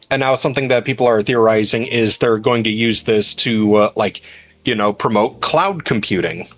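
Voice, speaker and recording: A man chatting casually on a livestream, explaining his points in a halting way. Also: on the low side, a bit bright, faint music behind.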